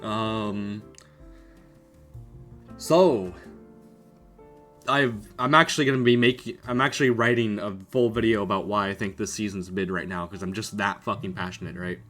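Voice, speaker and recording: A man's voice: on the low side, steady tone, normal speed, long pauses, a bit bright, soft background music. A young man from Canada hosting a casual podcast chat about ranking anime, swearing and joking often. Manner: profane, joking, laughs often. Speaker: podcast host.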